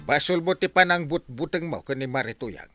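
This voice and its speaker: An actor playing a scolding, comic village captain in an Ilocano radio comedy-drama. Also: male voice, a little high, expressive, a little fast, a bit bright, soft background music.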